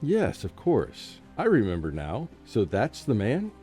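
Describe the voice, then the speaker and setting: A man's voice: lowish voice, wildly swooping pitch, a little slow, warm tone. A man playing a skeptical, scholarly British scientist in a radio drama, rational but troubled. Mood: dismissive, puzzled. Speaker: actor and first-person narrator. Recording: faint music behind a student radio play.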